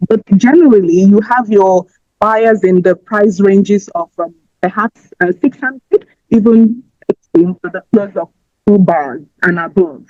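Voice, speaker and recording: A woman's voice: mid-range voice, unhurried, a little mellow, no echo, soft background music. A woman, chatting as a podcast guest, talking in a rambling way with fillers and restarts. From Nigeria. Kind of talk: casual interview answers. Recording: slightly muffled dry audio.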